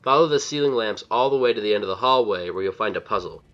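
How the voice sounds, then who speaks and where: A man's voice: fairly low pitch, animated, fast talker, faint music behind. A man giving casual, scripted video game walkthrough commentary in a blunt, wry manner, on a slightly dull recording.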